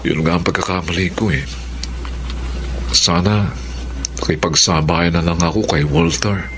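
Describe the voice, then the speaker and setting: A Filipino actor playing a seductive, possessive secret lover in melodramatic radio drama style, coaxing and insistent. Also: male voice, deep voice, fairly even intonation, measured pace, a little hiss or hum. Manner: coaxing, insistent, intimate murmur. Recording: music under the voice.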